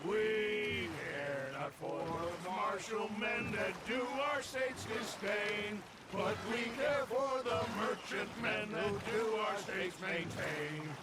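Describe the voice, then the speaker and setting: An actor playing an aging outlaw in a game cutscene, drawling and singing old folk songs outdoors. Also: male voice, high-pitched, takes it slowly, few pauses, heavy background noise. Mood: rowdy singing. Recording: loud outdoor game ambience over voice.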